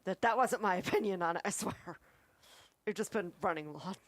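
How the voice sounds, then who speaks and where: A woman's voice: medium pitch, brisk, dry recording. A woman giving her opinions as a co-host in a casual review chat.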